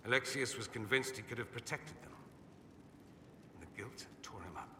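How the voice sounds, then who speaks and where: An actor playing a vain, sardonic young aristocratic mage in a video game, with a suave, theatrical and wry delivery. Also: male voice, medium pitch, moderate pace, roomy sound, long reverb tail, noisy background, close to an everyday voice. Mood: regretful, reflective. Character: witty, vain aristocratic male mage, sardonic rebel exile. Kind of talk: video game dialogue acting.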